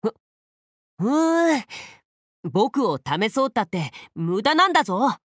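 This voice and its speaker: A man's voice: very high voice, sing-song, unhurried, a bit bright, dry recording, clean studio sound, heavily acted. An actor playing a proud young boy swordsman in a game, boyish and self-assured. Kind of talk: Japanese game character acting.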